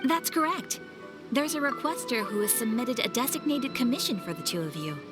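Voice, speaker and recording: Courteous messenger, played by a woman for a game: formal, reassuring, somewhat high voice. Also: animated, a little fast, over music, lightly acted. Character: courteous, formal female messenger giving commissions. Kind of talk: video game voice acting.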